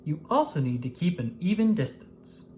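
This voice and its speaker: A woman's voice: low-pitched, very animated, moderate pace, mellow, a little hiss or hum. A woman voicing a friendly, eager young girl in a children's storybook, with an encouraging, instructive way of talking. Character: Lily, friendly eager young girl leading a dragon dance. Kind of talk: children's storybook character dialogue.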